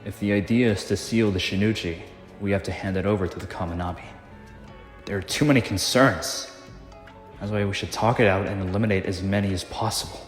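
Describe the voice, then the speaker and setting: This is a wry, careful ally from an anime world, thinking aloud in a deep, low register. He talks in a measured, reasoning way and never rushes to a conclusion. A man acts him out in a fan dub, with soft music playing beneath. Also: steady tone, natural voice. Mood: cautious. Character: laid-back, cautious adult man, a sword-world ally.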